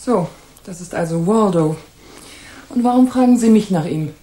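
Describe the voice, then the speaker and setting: A woman's voice: mid-range voice, moderate pace, warm tone, natural voice. An actress playing a haughty, suspicious and scornful married woman in a German radio crime drama. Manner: disdainful, imperious, guarded. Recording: roomy echo.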